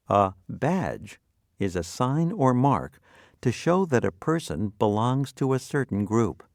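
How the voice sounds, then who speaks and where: Guy reading vocab lesson stuff real slow, lively up and down pitch, very dry sound. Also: no echo. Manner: deliberate, clearly separated phrases. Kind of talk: scripted educational narration.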